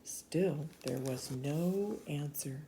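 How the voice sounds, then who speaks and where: A woman reading a children's storybook aloud in an expressive, animated way, dropping to hushed asides. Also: deep voice, drawn-out words, rough recording. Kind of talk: read-aloud of a children's book.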